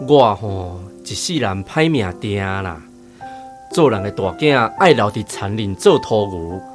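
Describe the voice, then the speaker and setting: A man's voice: on the low side, animated, a little slow, over music. An actor playing a middle-aged farmer father, weary, humble and plain-spoken, in a radio drama with a Taiwanese accent. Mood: humble, pleading.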